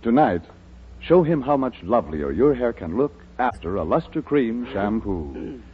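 A man's voice: medium pitch, big pitch swings, unhurried. An American man reading a scripted advertisement in 1940s radio style. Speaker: commercial announcer. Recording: tinny, narrow old radio recording. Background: music.

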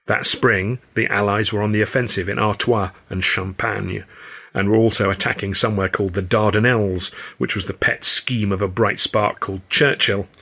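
A man's voice: fairly low pitch, fairly even intonation, normal speed, creaky, pushed and bright. A man narrating his own first-person novel as an audiobook.

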